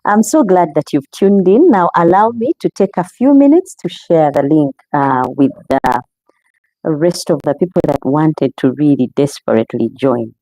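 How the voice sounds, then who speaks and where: Lady podcast host, low mellow voice, dry close mic, just chatting to her viewers. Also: animated, normal speed, no room sound, poor audio. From Uganda. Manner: warm welcoming, chatty, talks straight to viewers. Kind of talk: casual live-stream chat.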